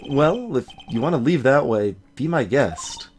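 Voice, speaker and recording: A man's voice: medium pitch, animated, unhurried, darkish tone, husky. A man voicing an earnest young male defense lawyer from a video game, in a dry, skeptical manner. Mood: musing. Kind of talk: voiced visual novel dialogue.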